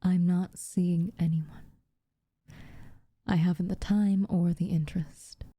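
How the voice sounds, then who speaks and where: A woman playing an aloof, teasing but secretly caring witch in a soft, breathy, intimate ASMR roleplay. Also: on the low side, fairly even intonation, no room sound, clean studio sound. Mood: amused, teasing. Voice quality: soft, breathy, often near a whisper. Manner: unhurried, hushed, dryly teasing.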